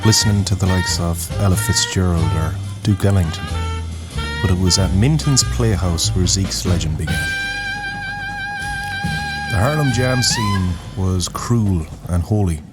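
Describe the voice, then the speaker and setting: A man narrating a scripted dramatic story in a solemn, poetic, deliberate way. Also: deep voice, wildly swooping pitch. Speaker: narrator, host of a story series. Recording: music bed under the voice. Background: music.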